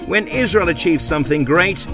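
A man from England hosting a podcast, reading scripted narration and interviewing a guest in a measured, emphatic way. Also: fairly high pitch, music in the background. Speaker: podcast host.

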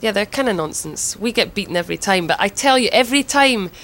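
A woman's voice: medium pitch, lively intonation, quick pace, a bit bright, rough recording. A woman in an interview as a band singer, speaking candidly, laughing often and swearing freely, with a Scottish accent. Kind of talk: casual interview banter.

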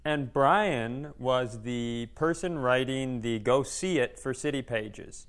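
A man's voice: mid-range voice, slow. A man co-hosting a podcast, telling stories casually in a joking, self-mocking way. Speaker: podcast co-host, stand-up comedian. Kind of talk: casual conversational storytelling.

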